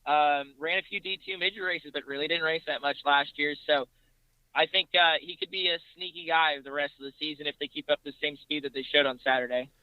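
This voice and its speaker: A man's voice: a little high, fairly even intonation, a little fast, smooth, dry recording, highs cut off. A man talking casually as a podcast guest, recounting racing details in a conversational way.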